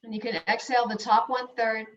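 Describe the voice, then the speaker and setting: A woman yoga instructor leading slow guided breathing and meditation in soothing, unhurried short phrases with long pauses. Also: fairly high pitch, little pitch movement, fairly bright tone. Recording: dull, echoey room, distant mic.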